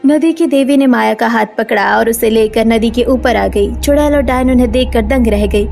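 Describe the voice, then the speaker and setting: High-pitched woman narrating a cartoon story. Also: normal speed, creaky, a little mellow, soft background music.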